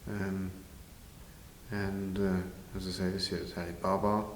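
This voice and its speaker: An older man answering interview questions informally, in a measured, halting way with pauses. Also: sits low, flat delivery. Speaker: interviewee, village pantomime organiser. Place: large village hall, indoors. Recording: very echoey hall, faint noise.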